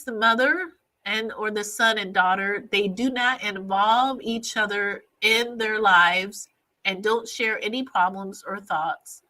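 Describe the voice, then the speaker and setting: A woman therapist explains family relationships in an educational talk. Her voice is bright and clear-edged but stuffy from allergies. She speaks haltingly, often pausing on "uh" and restarting her sentences. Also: mid-range voice, low-quality recording. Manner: halting, with frequent "uh" fillers and restarts.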